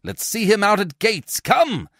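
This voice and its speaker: A man's voice: sits high, sing-song, unhurried, creaky, a bit bright, no room sound, studio quality, voice pushed up, exaggerated voice. A man voicing a crowd of rowdy Roman citizens who chant and jeer together. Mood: jubilant. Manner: chanting, jeering.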